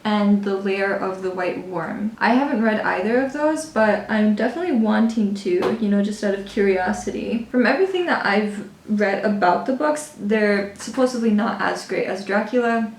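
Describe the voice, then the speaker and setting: A woman talks casually about a book she loves, loose and chatty, with plenty of fillers and a laugh now and then. Her voice sits at a middle pitch, and the room gives it a clear echo. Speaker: book and makeup vlogger. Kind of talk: casual book review talk. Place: lives in Budapest. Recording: roomy echo.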